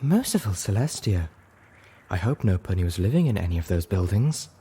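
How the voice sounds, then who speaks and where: A man's voice: sits low, sing-song, fairly quick, dark tone, slightly rough, exaggerated voice. A man voicing a genteel, caring female pony healer with a refined, chiding manner, in a story reading. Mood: dismayed.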